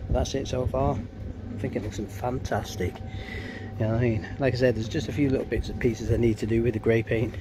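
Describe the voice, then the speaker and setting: A man talks through a casual DIY vlog in a mid-pitched voice that barely rises or falls. He is chatty and informal, rambling a little. He is recorded outdoors on a handheld device with clear ambient noise around him. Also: fairly even intonation, a little fast. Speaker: DIY vlogger. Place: outdoors in a back garden. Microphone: camera or phone held by the speaker.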